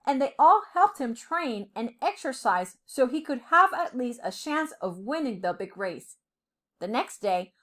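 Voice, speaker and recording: A woman reading a children's storybook aloud with dramatic, deliberate phrasing, as a storyteller. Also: on the high side, fairly bright tone. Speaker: storyteller, professor.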